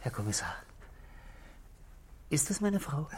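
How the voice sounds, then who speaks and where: Anxious, breathy-voiced brooding husband. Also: male voice, fairly high pitch, very animated, a little mellow, exaggerated voice. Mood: dejected. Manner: hesitant, halting, apologetic, dropping to a whisper. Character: anxious, brooding husband, polite but stubbornly suspicious. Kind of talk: 1960s German radio play acting.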